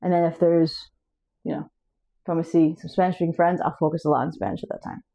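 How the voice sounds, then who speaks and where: Chatty woman with a low, mellow voice. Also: fairly even intonation, fast talker, creaky. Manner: chatty, restarts words, reads from notes. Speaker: language coach and channel host. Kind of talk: casual talk to camera.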